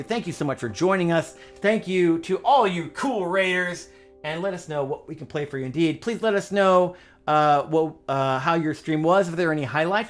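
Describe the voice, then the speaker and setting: A middle-aged man hosting a casual music livestream, chatty and upbeat, with lots of fillers. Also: on the high side. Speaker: livestream pianist host.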